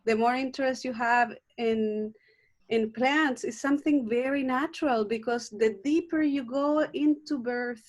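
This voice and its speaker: A woman's voice: a little high, measured pace. A middle-aged woman from Chile with a Chilean Spanish accent, a native Spanish speaker, talking earnestly as an herbalist guest in a video call interview, often restarting her sentences. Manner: warm, earnest, frequent restarts and fillers. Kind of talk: casual interview conversation.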